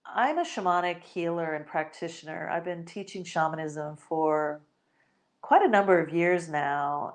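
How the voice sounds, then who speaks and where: A woman from the United States hosting her own spiritual podcast, talking off the cuff in a reflective, meandering way with many fillers and restarts. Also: low voice. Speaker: podcast host, shamanic healer. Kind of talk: spontaneous spiritual podcast talk.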